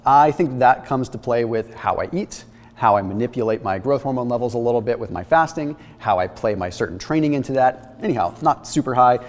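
A man in his thirties, a fitness channel host, explaining his blood test results to camera in an energetic, emphatic way from a kitchen studio set. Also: medium pitch, a little fast, in a large hall. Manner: energetic, explanatory, emphatic, gesturing while holding papers.

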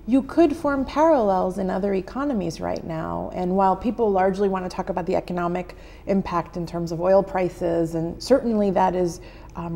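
An American woman in her thirties, a journalist answering interview questions in a TV studio, thoughtful with frequent pauses, on a lapel mic. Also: lowish voice, gravelly, warm tone. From United States. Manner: thoughtful, many "um" pauses, gestures while talking. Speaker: journalist guest. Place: TV studio set.

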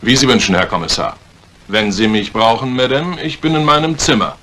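An actor playing a polite, slightly evasive butler in a 1950s German radio mystery, with measured, formal courtesy. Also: male voice, mid-range voice, fairly bright tone, close to an everyday voice. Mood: deferential, guarded. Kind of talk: 1950s German radio crime drama acting. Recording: faintly noisy vintage radio drama recording.

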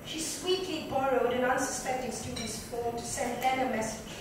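A woman's voice: high-pitched, moderate pace. A woman giving a dramatic reading of literary fiction. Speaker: book reader. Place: indoor club lounge. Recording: echoey room, distant mic, background noise.

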